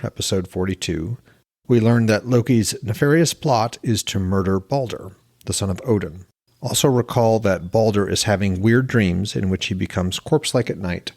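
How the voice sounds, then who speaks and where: A man hosting a fiction podcast, speaking in a scripted narration style as an author reading his fantasy work. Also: on the low side. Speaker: podcast host, author reading own novel.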